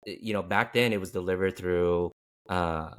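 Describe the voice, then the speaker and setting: A man's voice: sits low, fairly even intonation, moderate pace, a bit bright, smooth. A man hosting a casual interview, rambling with many restarts and fillers. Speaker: podcast host.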